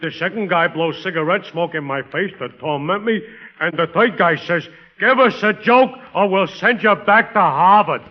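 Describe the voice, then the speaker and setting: An American actor playing a downtrodden, complaining gag writer in a 1940s radio comedy, in a matter-of-fact colloquial way. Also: male voice, high-pitched, normal speed, close to an everyday voice. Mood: plaintive. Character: downtrodden, plaintive male gag-writer's helper. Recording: muffled, hissy vintage radio recording.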